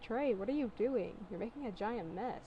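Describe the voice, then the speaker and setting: A woman's voice: a little high, animated, moderate pace, dull audio, a little hiss or hum, natural voice. A woman voicing an exasperated, sarcastic boyfriend in a fan fiction reading, with an annoyed, scolding manner.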